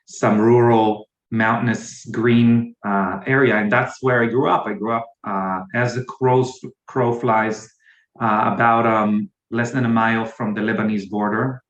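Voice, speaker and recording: A low-voiced man with an Israeli accent speaks haltingly, full of pauses and restarts, as an interview guest. His audio sounds roomy and a bit dull, like a remote call in an echoey room. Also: little pitch movement, a bit bright. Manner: hesitant, many fillers and restarts. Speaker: guest, company founder. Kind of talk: interview answers.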